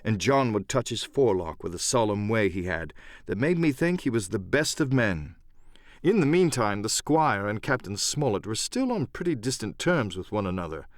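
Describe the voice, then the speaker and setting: A man narrating an adventure novel as dramatic, scripted storytelling in a measured literary style. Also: fairly low pitch, sing-song, no echo, studio quality. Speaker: audiobook narrator and voice actor.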